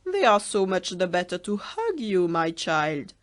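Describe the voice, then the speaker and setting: A woman voicing a wicked, hungry male wolf in a storybook reading, speaking in a loud, sly, coaxing way. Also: on the low side, big pitch swings, unhurried.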